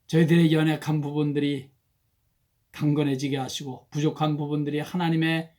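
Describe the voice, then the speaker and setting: A male pastor with a hoarse voice, leading morning worship and prayer in a slow, soft-spoken, halting way. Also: on the high side. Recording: faint guitar music behind.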